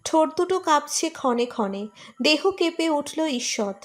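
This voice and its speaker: A woman's voice: high-pitched, animated, unhurried. A woman reading a scripted romantic story as its narrator.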